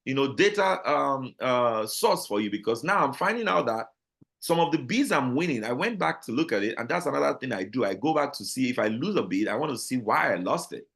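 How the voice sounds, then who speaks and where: A man with a Nigerian accent, a guest talking casually in an interview, animated and full of restarts and repeated words. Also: mid-range voice, fairly quick, gravelly. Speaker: guest, business owner.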